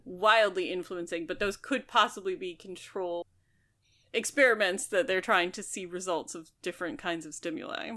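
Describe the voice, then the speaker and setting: A woman's voice: lowish voice, normal speed, sharp tone. Analytical woman co-hosting a casual book podcast. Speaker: podcast co-host.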